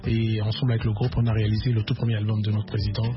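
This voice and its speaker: Musician on a muffled radio broadcast. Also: male voice, on the low side, level tone, over music. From Kinshasa, Congo. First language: Lingala. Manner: measured, repeats words while searching for them. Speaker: musician guest. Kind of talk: radio interview, recounting his career.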